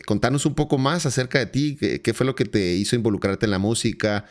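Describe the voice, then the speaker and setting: A man's voice: medium pitch, lively intonation, fairly quick. A male podcast host, talking in a friendly, wordy way that often repeats words as he interviews a guest.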